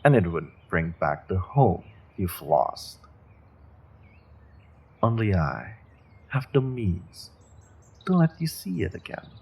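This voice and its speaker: A man performs a villainous male sorcerer from a fantasy audio drama. The voice is deep and full of gravel, unhurried and slow. He is smug and condescending, feigning kindness while quietly threatening. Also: sing-song, exaggerated voice. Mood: cold menace. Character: menacing evil male sorcerer, smug, mock-kind captor. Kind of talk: fantasy audio roleplay monologue.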